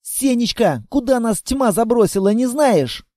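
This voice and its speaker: A man voicing a chatty little girl, a child of darkness, who pleads and chatters without pause. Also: very high voice, expressive, mellow, dry recording, studio quality, pitched above the performer's own voice. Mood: anxious.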